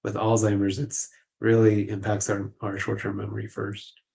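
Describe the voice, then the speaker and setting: Low-pitched man explaining things conversationally. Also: completely flat delivery, normal speed, gravelly, warm tone. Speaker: clinical guest expert.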